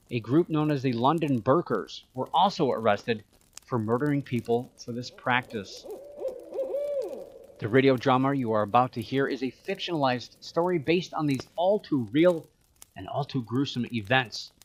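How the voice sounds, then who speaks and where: A man hosting a show with scripted narration in a measured, explanatory manner. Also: medium pitch. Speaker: podcast host.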